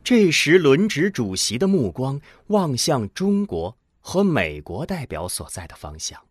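A man's voice: mid-range voice, big pitch swings, measured pace, husky, dry recording, studio quality. A man reading dramatic scripted narration as the narrator of an audio drama.

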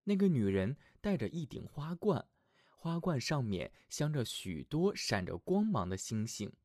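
A man narrating a scripted fairy tale for small children as their storyteller, in an expressive, affectionate manner. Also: mid-range voice, measured pace, no echo, clean studio sound.

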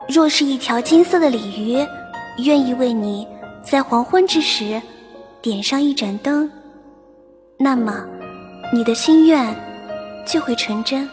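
A woman's voice: on the high side, darkish tone. A woman playing a wistful young storyteller narrating an old legend in an audio drama. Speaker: opening narrator.

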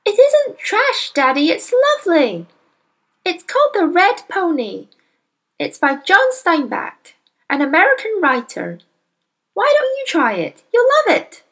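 A woman voicing a bright, bookish little girl who speaks in a sweet, pleading, high voice. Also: huge pitch swings, unhurried, full character voice.

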